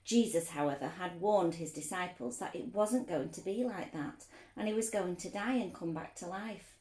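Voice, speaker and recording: A woman reading a story aloud for children, expressive, explaining things simply as a teacher would. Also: lowish voice, normal speed, echoey room, low-quality recording.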